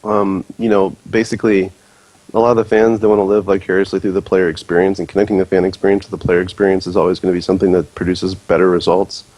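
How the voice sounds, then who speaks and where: A man's voice: low-pitched, flat delivery, fast, a little mellow, no echo, poor audio. A man speaking earnestly and persuasively on a casual podcast, with many fillers. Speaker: podcast guest, league executive.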